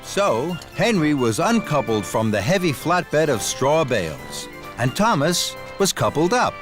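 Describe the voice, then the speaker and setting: A man narrating a scripted children's story in an expressive, deliberate storytelling manner. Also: on the high side. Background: music.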